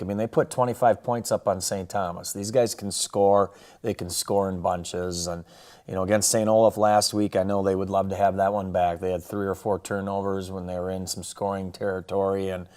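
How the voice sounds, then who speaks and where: Man, middle-aged, American accent, very deep voice, flat intonation, coach in conversation. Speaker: college football head coach. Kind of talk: conversational game preview.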